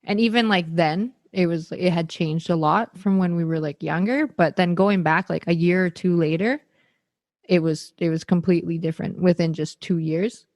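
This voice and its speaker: A woman hosts a podcast interview in a loose, conversational style, filling her sentences with filler words. Her voice sits somewhat low, and it is recorded dry and close. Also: fairly even intonation, moderate pace, a little mellow, dry recording. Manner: casual, lots of "like" fillers. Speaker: podcast host. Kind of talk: casual interview chat.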